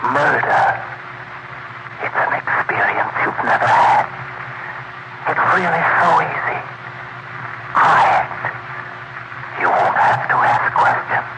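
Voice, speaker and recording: An actor playing a dead murderer's ghost, quietly tormenting and persuasive, in a 1940s radio thriller. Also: male voice, fairly high pitch, vocal fry. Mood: insinuating. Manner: slow, deliberate, persuasive. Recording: very noisy vintage 1940s radio broadcast.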